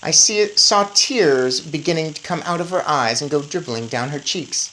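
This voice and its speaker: Low-voiced, animated woman reading a story. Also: normal speed, slight background noise, low-quality recording. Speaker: story reader. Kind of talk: expressive children's audiobook-style read-aloud.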